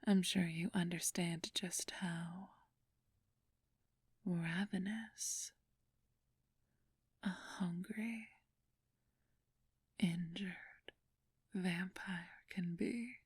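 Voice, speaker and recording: Woman playing a breathy, whispering seductive vampire thief. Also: lowish voice, fairly even intonation, dry recording, full character voice. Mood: predatory, hungry. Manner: teasing, condescending, drawn-out purring delivery. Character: flirtatious ancient female vampire thief, haughty and predatory. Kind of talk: whispered ASMR roleplay.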